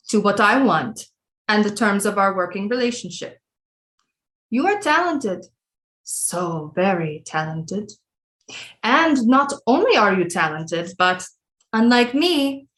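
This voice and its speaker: A woman's voice: fairly high pitch, a bit bright. Woman acting imperious, scheming queen mother. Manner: grandiose, formal, deliberate period speech. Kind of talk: theatrical audio drama acting.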